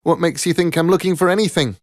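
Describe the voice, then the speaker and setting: A man's voice: fairly high pitch, quick pace, no room sound, studio quality, voice pushed up, lightly acted. A man reading the part of an earnest, wistful young girl in a storybook tale. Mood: defensive. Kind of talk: storybook character voice.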